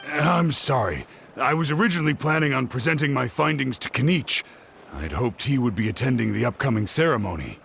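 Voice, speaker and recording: A man's voice: mid-range voice, lively intonation, fairly quick, vocal fry, a bit bright, a little hiss or hum. An actor playing an earnest young male scholar who eagerly explains his theories. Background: game music.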